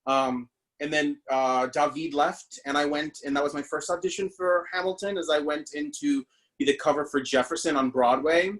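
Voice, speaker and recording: A man's voice: a little high, normal speed, fairly bright tone. A man from the Bahamas, a stage actor, telling his story in a casual interview over a video call, chatty and full of fillers. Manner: chatty, with many fillers and restarts.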